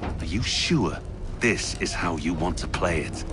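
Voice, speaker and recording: A smug game villain, very lively intonation, taunting and formal, music going behind him. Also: male voice, low-pitched, a little slow. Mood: menacing, taunting. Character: smug, menacing pursuer, a formal male villain. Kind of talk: dramatic video game acting.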